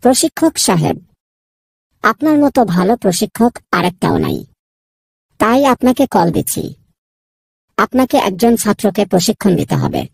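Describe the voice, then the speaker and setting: A woman's voice: medium pitch, creaky, breathy edge, no echo. A woman voicing a fussy, inquisitive busybody animal who bosses everyone around in a children's cartoon. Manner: inquisitive, bossy, repeats a catchphrase.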